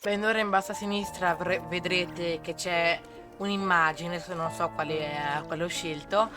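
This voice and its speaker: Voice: medium pitch, steady tone, a little fast, music in the background. A streamer giving casual, chatty, explanatory gameplay commentary.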